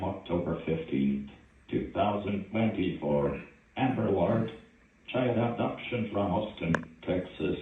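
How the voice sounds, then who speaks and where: A synthetic man's voice reading out an automated emergency alert in a flat, stilted way. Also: deep voice. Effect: synthetic text-to-speech voice. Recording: phone-like narrowband, distant, echoing, faint noise.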